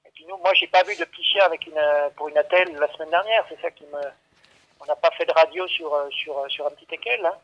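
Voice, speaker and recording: High-voiced, stammering man on the phone. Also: quick pace. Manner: hesitant, stammering, repeating himself, patiently explaining. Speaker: veterinary clinic staff taking a call. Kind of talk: unscripted phone conversation. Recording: dull, lo-fi phone line.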